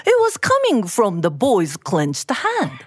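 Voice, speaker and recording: Lady w telling a folktale, takes it slow, really dry close sound, dramatic. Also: mid-range voice, no room sound. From Japan. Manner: expressive, deliberate, dramatic. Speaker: storyteller. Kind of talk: live oral storytelling of a folktale.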